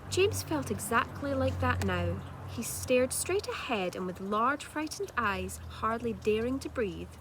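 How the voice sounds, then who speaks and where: A woman narrating a children's storybook like a teacher reading aloud, with measured, dramatic delivery. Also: medium pitch. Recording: clearly noisy home-made recording.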